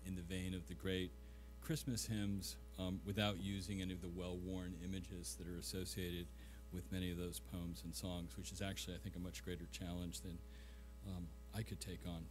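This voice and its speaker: A man's voice: deep voice, a little mellow. A middle-aged man speaking and reciting as a guest reader at a live poetry event.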